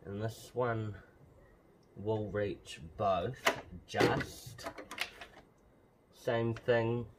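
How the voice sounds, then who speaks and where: Slow-talking, low-voiced man. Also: steady tone, heavy background noise. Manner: offhand, narrates each step as he works. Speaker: host of a car modification vlog. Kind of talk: casual DIY commentary while working. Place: outdoors beside a car's open bonnet.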